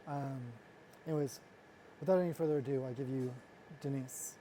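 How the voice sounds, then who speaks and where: Earnest man with a mellow voice. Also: mid-range voice, fairly even intonation, a little hiss or hum, rough recording. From United States, Los Angeles area. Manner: earnest, halting with restarts. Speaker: host, interviewer. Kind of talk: casual vlog interview.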